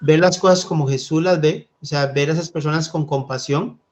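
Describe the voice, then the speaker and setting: A man from Costa Rica leading a church Bible study, speaking gently and thoughtfully, with hesitant fillers. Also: a little high, a little fast, muffled recording. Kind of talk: Bible study teaching and reading scripture.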